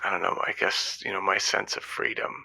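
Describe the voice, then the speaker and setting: A man playing a hesitant, evasive middle-aged father who calls a comedy show, halting and nervous. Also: low-pitched, flat delivery, creaky, pushed and bright, breathy edge, dry recording, full character voice, poor audio. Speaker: caller on a call-in show. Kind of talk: improvised comedy call-in.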